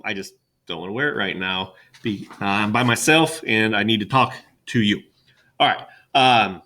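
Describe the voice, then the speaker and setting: A middle-aged male teacher with a loud, boisterous classroom voice, talking casually and rambling with fillers, recorded on a webcam in an empty classroom. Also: on the low side. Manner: rambling, chatty with many fillers. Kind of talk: casual classroom welcome talk.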